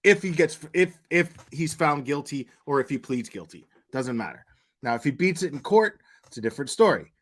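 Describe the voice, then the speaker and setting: A man's voice: fairly high pitch, very animated, moderate pace. Casual, blunt man hosting a live stream. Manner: blunt, informal, swears. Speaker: live stream host reacting to a video.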